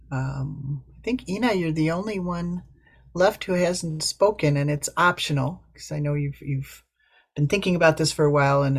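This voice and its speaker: A man facilitating a group discussion, thanking speakers and explaining calmly. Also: on the high side, creaky. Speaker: meeting facilitator.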